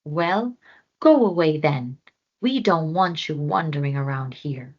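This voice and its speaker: Mocking laughing hyena; woman narrator, slow, dull lo-fi sound. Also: deep voice, expressive. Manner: cackling, dismissive. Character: cackling scheming hyena, rude.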